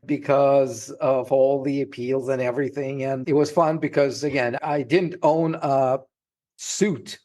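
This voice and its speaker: A middle-aged man from Russia with a Russian accent, a podcast guest recounting his story in a lively, anecdotal way. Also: mid-range voice, a little slow. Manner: lively anecdotal, laughs while talking. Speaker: podcast guest, trademark lawyer. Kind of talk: casual storytelling interview.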